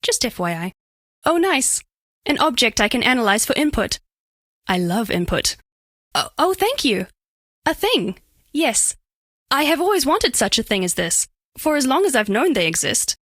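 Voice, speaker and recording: A woman's voice: sits high, big pitch swings, moderate pace, long pauses, fairly bright tone, dry recording, clean studio sound, heavily acted. A woman voicing a curious female alien crystal being for a dating game, speaking formally with stilted, precise, clinical phrasing and awkward flirtation. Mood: delighted. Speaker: video game voice actress. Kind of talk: scripted dating-game character voice lines.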